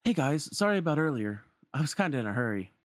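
A man's voice: on the high side, big pitch swings, fast, no echo, studio quality, voice pushed up. A man voicing a friendly, easygoing young street lad from a game in a breezy, informal way. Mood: apologetic, breezy.

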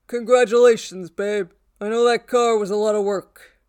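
Woman voicing a cocky, wheedling boyfriend, clear voice. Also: mid-range voice, steady tone, normal speed, darkish tone, no echo, clean studio sound, close to an everyday voice. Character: cocky, wheedling young man courting his ex. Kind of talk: audiobook character voice.

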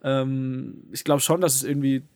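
A young man co-hosting a casual podcast, talking in a halting way with many fillers and restarts. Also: medium pitch, level tone, darkish tone, no echo. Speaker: podcast co-host. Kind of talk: casual conversation. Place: living in a city abroad.

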